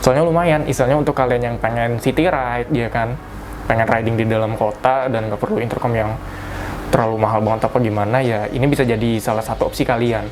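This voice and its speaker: A man's voice: medium pitch, fairly even intonation, fast. A young man gives a casual step-by-step tutorial in a conversational, colloquial way, often correcting himself. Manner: conversational, repeats colloquial tags and self-corrects. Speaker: product reviewer giving a tutorial. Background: music.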